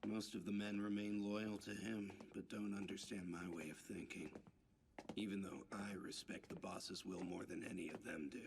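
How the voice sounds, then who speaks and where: An actor playing a cold, calculating yakuza villain in an anime dub clip, with a low gravelly whisper. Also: male voice, level tone, normal speed. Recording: clip played with clear background noise.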